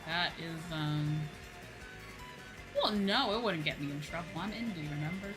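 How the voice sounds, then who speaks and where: A woman with a fairly low voice chats casually on a gaming livestream. She muses aloud in an informal way, often repeating her phrases. Music plays clearly behind her. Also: animated, normal speed, rough recording. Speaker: streamer. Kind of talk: casual chat while livestreaming a video game.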